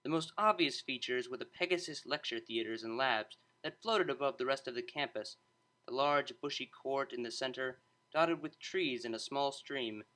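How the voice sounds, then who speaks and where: A man's voice: mid-range voice, pushed and bright, rough recording. A man reading a scripted story aloud as an audiobook narrator.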